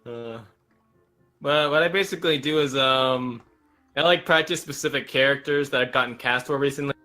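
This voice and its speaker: A man who is a voice acting guest, chatting casually in an excitable, jokey way. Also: medium pitch, moderate pace, faint music behind. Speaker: guest voice actor. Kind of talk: casual chat.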